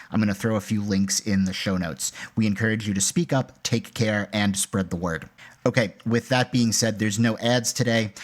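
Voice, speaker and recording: A male podcast host speaking earnestly and at a measured pace. His voice sits somewhat low. The recording is clean, with nothing behind it. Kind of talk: spoken show announcement.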